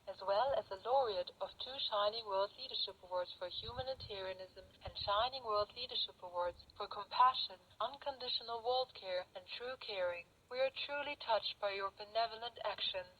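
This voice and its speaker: A woman's voice: mid-range voice, normal speed, hardly any pauses, slight background noise. A woman presenting scripted news, heard through a muffled shortwave radio capture.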